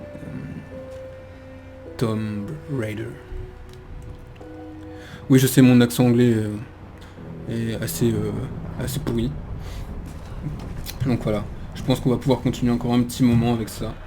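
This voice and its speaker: A man's voice: medium pitch, level tone, unhurried, long pauses, over music. A man hosting a gaming video with casual let's play commentary, chatty and hesitant as he thinks aloud.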